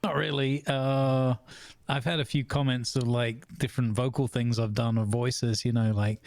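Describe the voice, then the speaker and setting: A man co-hosting a casual podcast chat, rambling with halting restarts and frequent laughs. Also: medium pitch, flat delivery, vocal fry, a little mellow, no echo. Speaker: podcast co-host.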